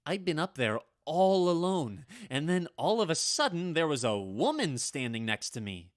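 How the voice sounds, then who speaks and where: A man's voice: a little high, big pitch swings, normal speed, dry recording, studio quality. A man playing a wry, easily flustered storyteller who has lived for centuries, in a dramatic vintage-style radio play. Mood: startled recounting.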